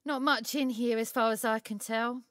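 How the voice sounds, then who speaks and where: An actress playing a shrewd assistant at a secretive tailor's shop in an audio story, talking in a blunt, wry, colloquial way. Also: female voice, fairly high pitch, steady tone, normal speed, no echo, clean studio sound, close to an everyday voice. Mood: wry, knowing. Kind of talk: dramatised audio short story acting.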